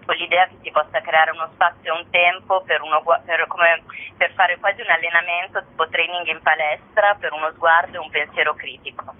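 A woman's voice: on the low side, steady tone, a little fast. A woman festival director being interviewed on the radio, explaining her programme and searching for words as she goes. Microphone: telephone. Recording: muffled, narrow telephone line.

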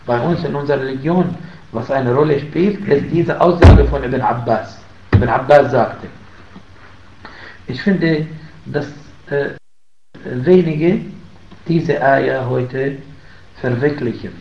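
Guy giving a religious talk, gets loud and emphatic, echoey muffled room. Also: medium pitch, slow pace, gravelly, long pauses. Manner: emphatic, rising loud on key points. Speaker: Islamic teacher. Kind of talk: religious lesson commentary. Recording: roomy, muffled, faint ambience.

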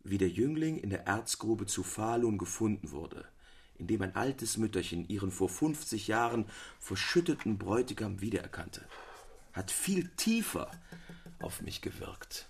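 An actor, a man, playing a frank, discerning friend who gives deliberate literary critique in a radio reading. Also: on the low side, big pitch swings, vocal fry, a little hiss or hum. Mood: disapproving, reflective.

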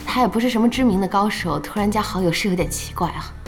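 An actress playing a young female team boss in an audio drama, curious, playful and easily flustered, with expressive hesitations. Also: mid-range voice, fast, faint music behind, lightly acted. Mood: curious, hesitant. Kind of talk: Chinese audio drama acting.

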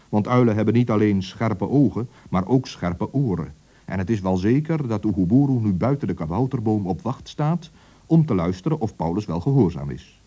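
A man narrating a children's radio story in a lively, expressive way. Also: fairly low pitch, husky, highs cut off.

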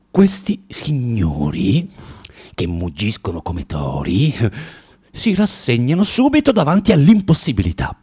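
Husky, tormented underground man. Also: on the low side, mellow, full character voice. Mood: brooding, sardonic. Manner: halting, repeating words, swinging from muttering to outbursts. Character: bitter, tormented forty-year-old underground man, spiteful and self-contradicting. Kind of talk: theatrical radio drama monologue.

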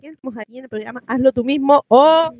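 A woman's voice: sits high, fast, dull audio, loud music behind the voice. A woman with a Chilean accent hosting an informal community radio show in a colloquial, familiar way. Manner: colloquial, addresses listeners familiarly. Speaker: radio host.

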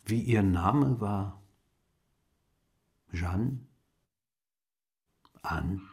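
A man playing a melancholy aged French explorer, brooding haltingly over his memories in a radio play monologue. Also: on the low side, gravelly, a little mellow, full character voice. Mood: weary, brooding.

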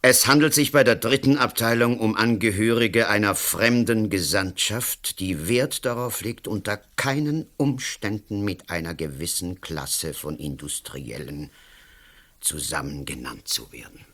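An actor playing a domineering, smug and sly politician in a radio play, with a condescending, theatrical, emphatic delivery. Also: male voice, on the low side, a little slow, fairly bright tone. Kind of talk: German-language radio drama acting.

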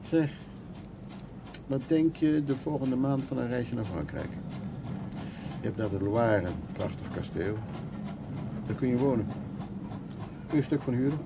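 An older male actor playing a stubborn, world-weary but fond war survivor in a radio drama, plain-spoken, with a husky, creaky voice. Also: medium pitch, lively intonation, measured pace, long pauses, background noise. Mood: affectionate, eager. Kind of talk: radio drama acting, reminiscing and intimate dialogue.

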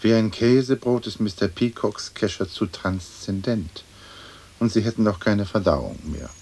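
An actor playing a haughty, sardonic English lord in a radio play, with an aloof, dry, precise way of talking, on an old recording. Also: male voice, on the low side, a little slow, darkish tone, slight background noise. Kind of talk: German radio play acting.

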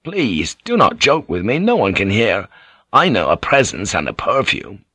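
A man's voice: lowish voice, sing-song, moderate pace, sharp tone, slightly rough, no echo, full character voice. A man playing an earnest, gallant man in an audiobook, with formal, archaic phrasing. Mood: frustrated.

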